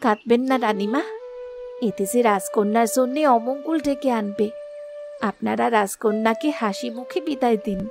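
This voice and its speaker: A woman acts out a soothing fairy-tale servant of the queen. She sounds respectful and deferential, and music plays clearly behind her voice. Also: on the high side, moderate pace.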